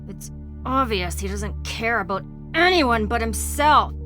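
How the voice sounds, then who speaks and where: A woman playing an angry, mocking young witch in an audio drama, sarcastic, scornful and sweary. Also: medium pitch.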